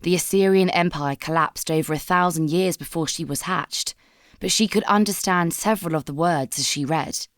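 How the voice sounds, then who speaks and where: A woman narrating scripted dramatic fan fiction as a storyteller, in a measured, solemn manner. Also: lowish voice, no room sound, studio quality.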